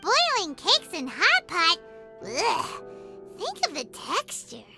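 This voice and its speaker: Squeaky, excitable little fairy companion. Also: female voice, slow, exaggerated voice. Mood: cheeky, grossed out. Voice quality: squeaky, childlike. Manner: excitable, blunt, third-person chatter. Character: tiny childlike female fairy companion, cheeky, greedy for food. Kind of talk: animated video game voice acting. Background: background music.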